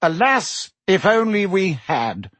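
A man voicing a pompous, anxious middle-aged male minister, fussy and earnest, with a husky voice. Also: high voice, very animated, takes it slowly, fairly bright tone, no echo, voice pushed up, heavily acted.

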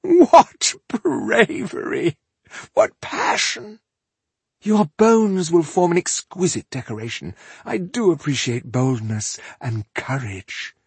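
Guy doing an evil elf swordsman for a fantasy audiobook, snooty n theatrical, voice swoops up high, super clean dry sound. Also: very animated, unhurried, darkish tone, slightly rough, no room sound, full character voice. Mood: mocking delight. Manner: grandiose, mocking, theatrical. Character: arrogant, sadistic male elf warrior, aesthete of death.

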